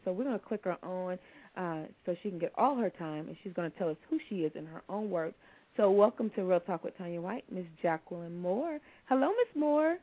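A woman hosting a call-in radio talk show, chatting easily with her guests and laughing often. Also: fairly low pitch. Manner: chatty, laughs easily, slows to read out numbers. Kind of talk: casual call-in radio chat. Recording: tinny phone line.

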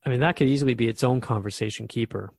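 A man's voice: mid-range voice, racing, gravelly, a little mellow, husky, no room sound, studio quality. A man playing a thoughtful, curious radio host in a radio drama, questioning a spirit guide in a reflective, conversational way.

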